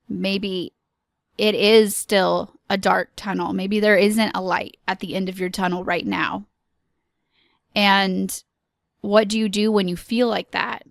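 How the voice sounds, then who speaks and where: A woman hosting a solo podcast, talking earnestly and encouragingly with pauses and frequent ums. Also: mid-range voice, gravelly, dry recording, clean studio sound. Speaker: podcast host.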